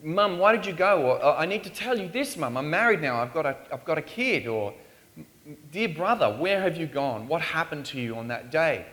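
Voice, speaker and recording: Male preacher in a church, earnest and pleading, voice a bit on the high side. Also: expressive, normal speed, a bit bright. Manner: earnest, rising to pleading questions. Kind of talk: sermon. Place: church in Australia.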